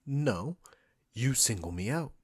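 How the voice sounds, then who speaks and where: A man's voice: medium pitch, expressive, slow, slightly rough. A man playing a proud, defensive, condescending restaurant chef in a scripted roleplay spoken straight to the listener. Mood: angry, defensive. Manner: condescending, combative, sarcastic, swearing.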